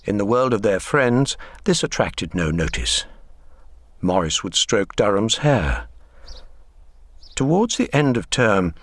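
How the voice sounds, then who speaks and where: Male narrator for literary drama, lowish pitch, very close dry sound. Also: very animated, takes it slowly, dry recording.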